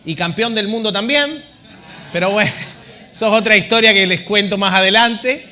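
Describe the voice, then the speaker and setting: Missionary man, bright ringing tone, chatty storyteller at a pulpit. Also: high-pitched, moderate pace. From Argentina. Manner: joking, chatty storytelling to a congregation. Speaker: missionary guest speaker. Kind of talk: church testimony.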